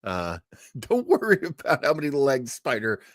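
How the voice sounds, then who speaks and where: A man's voice: mid-range voice, huge pitch swings, moderate pace, no echo, studio quality. A man hosting an old-time radio livestream in a casual, rambling, jokey way, chatting to his viewers. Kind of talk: casual livestream chat and introduction.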